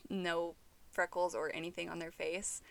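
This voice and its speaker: Lower-voiced woman, wry and casual. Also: level tone, normal speed, gravelly, a bit bright, a little hiss or hum. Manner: wry, self-deprecating. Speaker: podcast co-host. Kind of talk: casual chat.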